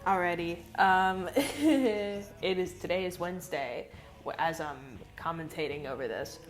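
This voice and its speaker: A woman's voice: fairly low pitch, measured pace, music in the background. A young woman with a stuffed-up, nasal voice, rambling casually over her art footage with lots of fillers and swearing. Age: young adult. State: congested, nasal. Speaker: art vlogger. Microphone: phone.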